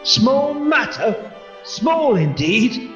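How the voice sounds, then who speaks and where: A man's voice: very high-pitched, big pitch swings, drawn-out words, a bit bright, full character voice. A man acting an elderly miser in a radio play, stammering, exclaiming and repeating his words with theatrical feeling. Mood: earnest. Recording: roomy, dull audio with music.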